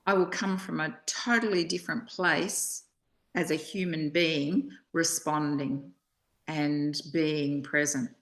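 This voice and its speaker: A woman with a slightly low voice speaks slowly in a reflective spiritual conversation. She is contemplative, pausing between short phrases, and the sound is roomy and echoing. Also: steady tone, a bit bright. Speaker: study discussion partner.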